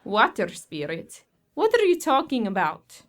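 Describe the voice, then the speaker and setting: Woman acting anxious, exasperated young girlfriend, high voice. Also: wildly swooping pitch, a little slow. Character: worried, bitter younger girlfriend of widowed father. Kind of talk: audio horror drama acting.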